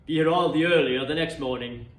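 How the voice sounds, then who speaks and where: A man's voice: a little high, brisk, voice pushed down, close to an everyday voice. A man voicing a stingy, grumbling old moneylender in a storybook reading, curt and grudging. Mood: sour, grudging. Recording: roomy echo, distant microphone.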